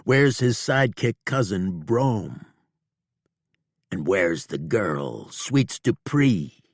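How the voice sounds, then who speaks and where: A man voicing a cool, taunting male bounty hunter with a slow drawl in an audiobook. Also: on the low side, sing-song, gravelly, no room sound, clean studio sound, voice pushed up, exaggerated voice. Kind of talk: audiobook character voice.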